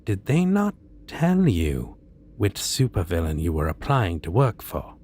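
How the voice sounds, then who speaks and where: A man's voice: sits low, wildly swooping pitch, a little slow, a little mellow, a little hiss or hum, exaggerated voice. A man playing a theatrical, suave and condescending costumed supervillain in an ASMR roleplay. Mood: incredulous. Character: theatrical, stately, amused supervillain in costume interviewing a minion.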